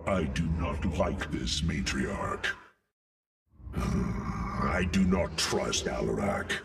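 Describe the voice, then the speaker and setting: A man's voice: sits low, creaky, full character voice. An actor voicing a grave, suspicious male alien warrior in a video game, solemn and formal. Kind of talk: video game character acting. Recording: distant, heavily reverberant hall sound.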